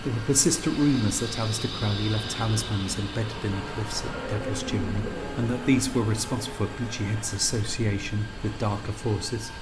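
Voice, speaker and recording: A man reading scripted literary narration as a storyteller, in measured, deliberate phrases with pauses. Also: fairly low pitch, steady tone, poor audio. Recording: music under the voice. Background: music.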